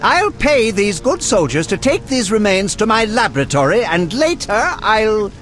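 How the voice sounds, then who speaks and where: An eccentric game alchemist, high-voiced and very animated. He speaks like a fussy scholar, precise and morbidly curious, over faint music. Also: moderate pace. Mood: intrigued. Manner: erudite, precise, relishing grisly detail. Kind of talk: video game dialogue acting.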